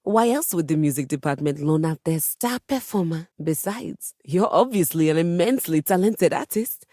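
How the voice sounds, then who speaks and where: A woman's voice: sits low, expressive, normal speed, mellow, no room sound, clean studio sound. A woman voicing a vain, refined piano diva in a video game, speaking in a grandiose, pompous way with precise wording. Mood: indignant, dramatic. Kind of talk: theatrical video game character acting.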